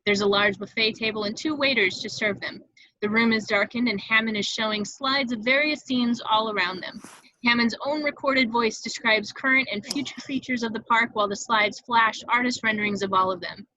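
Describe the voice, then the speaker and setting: A woman's voice: fairly high pitch, fairly even intonation, normal speed, sharp tone, no room sound. A woman reading stage directions as the narrator of a script table read, in a slightly dull, dry home recording.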